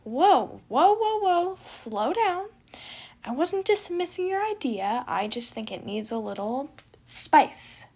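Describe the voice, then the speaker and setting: A woman voicing a brash, mischievous young man, a crude and chaotic twin brother who exclaims and teases loudly in an audio story. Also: high voice, very animated, slow pace, voice pushed up, heavily acted. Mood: exasperated, scornful. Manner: loud, exclaiming, teasing.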